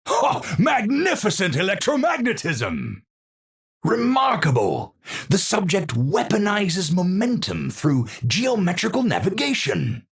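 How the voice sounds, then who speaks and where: An actor playing an eccentric, unstable scientist in a video game, with a Dutch accent, husky voice and theatrical, formal scientific phrasing. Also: male voice, high-pitched, fairly bright tone, heavily acted. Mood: grandiose, triumphant. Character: eccentric, unstable male scientist, lofty and absent-minded. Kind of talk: video game character voice lines.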